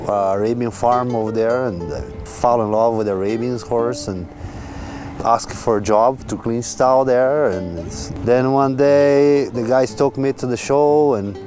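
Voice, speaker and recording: A man from Brazil with a Brazilian accent and non-native English grammar, telling his own story casually as a horse trainer. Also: medium pitch. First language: Portuguese. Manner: non-native grammar, animated quoting of others. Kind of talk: casual personal storytelling in a documentary interview. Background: music.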